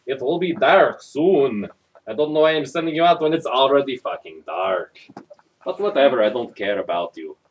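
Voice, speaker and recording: A man's voice: mid-range voice, animated, normal speed, distant mic, close to an everyday voice, low-quality recording. A man voicing a bitter, neglectful mother who grumbles sarcastically and swears in a mock storybook reading. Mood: resentful, dismissive. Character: bitter, neglectful, foul-mouthed mother scolding her son.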